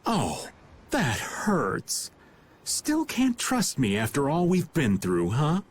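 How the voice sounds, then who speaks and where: Roguish middle-aged guild veteran, lively swooping delivery, breezy and teasing, video game dub, faint noise behind. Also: male voice, on the high side, slow pace, heavily acted. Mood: mock hurt. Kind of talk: animated video game dubbing.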